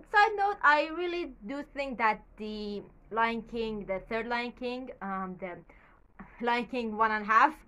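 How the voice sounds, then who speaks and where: A woman's voice: on the high side, sharp tone. A young woman whose first language is Farsi, chatting in a rambling, casual way with many fillers and a slightly nervous edge, recorded in a small bedroom on a camera at desk distance. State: a little nervous. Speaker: YouTuber. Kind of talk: casual movie commentary.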